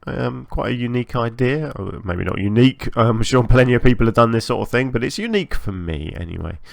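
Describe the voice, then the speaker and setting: A man giving casual, chatty commentary as host of a gaming channel, a little hoarse from a long recording session, hesitant and self-effacing. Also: medium pitch, animated, a little fast, dry recording, studio quality. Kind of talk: casual game build commentary.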